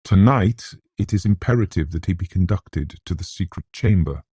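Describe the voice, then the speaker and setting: A man's voice: low voice, normal speed, warm tone, husky, no room sound, studio quality. A man voicing a troubled, reticent castle factor of about seventy in a gothic audiobook, British-accented, hesitant and deadly serious. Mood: grave, urgent. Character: grim, troubled seventy-year-old castle factor, reticent and weather-worn.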